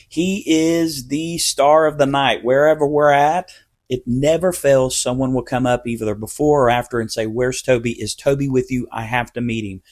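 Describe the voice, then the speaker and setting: A man's voice: medium pitch, steady tone, moderate pace, a little mellow, no echo. Man chatting fondly with lots of "um"s. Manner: fond, rambling with many fillers. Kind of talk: interview clip.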